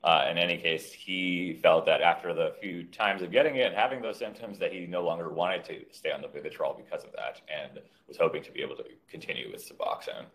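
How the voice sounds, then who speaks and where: A middle-aged man, a physician, presenting a clinical case on a video call in a measured, slightly hesitant way. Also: low-pitched, a bit bright, rough recording. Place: indoor room on a video call.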